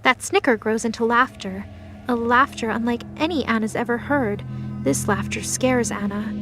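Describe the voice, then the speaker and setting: A woman's voice: fairly high pitch, normal speed. A woman narrator reading a scripted dramatic story for an audio drama. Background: music.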